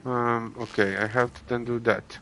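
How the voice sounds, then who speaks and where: A man from Latvia with a Latvian accent, streaming a video game and chatting casually with viewers, halting with many restarts and fillers. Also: mid-range voice, level tone, noisy background. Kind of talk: casual gameplay chat with viewers. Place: home gaming setup.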